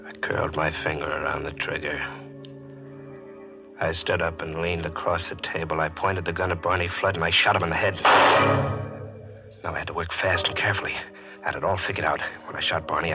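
Cold, calculating male gambler-murderer, low register, old radio mystery with music behind. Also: steady tone, quick pace, rough recording. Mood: cold, methodical. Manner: calculated, confessional first-person telling. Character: cold, scheming gambler narrating his own murder. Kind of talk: 1940s radio mystery acting. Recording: vintage radio broadcast with music.

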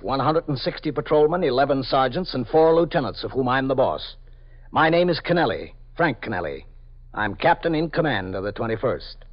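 An actor playing a police captain narrating a 1950s radio drama in a measured, formal manner. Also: male voice, medium pitch, natural voice. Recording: dull old radio broadcast.

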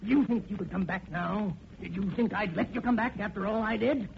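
A man's voice: high voice, fairly quick, gravelly, slight background noise. An actor in a 1940s radio melodrama playing a greedy, murderous father who snarls menacing threats. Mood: menacing rage. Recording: dull old radio recording, music underneath.

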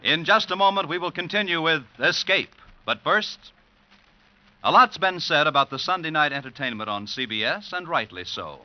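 A man's voice: fairly high pitch, lively intonation, normal speed, slight background noise. A man with an American accent announcing in a formal, polished, salesmanlike 1940s radio style. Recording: dull vintage radio recording.

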